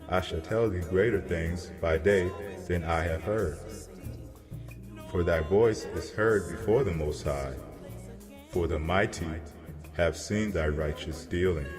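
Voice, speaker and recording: A man playing a solemn angel messenger who delivers grave prophecy in a measured, declamatory way, as in a dramatized scripture reading. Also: sits low. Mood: solemn reassurance. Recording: long cavernous reverb, music behind. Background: music.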